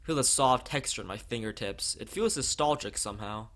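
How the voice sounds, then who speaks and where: A man's voice: fairly low pitch, brisk, a bit bright. A man from the United States, a let's play streamer, reading a visual novel aloud.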